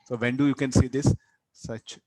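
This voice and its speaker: A man with a South Indian accent lectures as a physics teacher, in a medium-pitched voice. He often repeats his words and ends sentences with little questioning tags to draw students in. The sound is dry, with hardly any room echo. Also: fairly even intonation, normal speed, warm tone. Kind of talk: interactive classroom lecture.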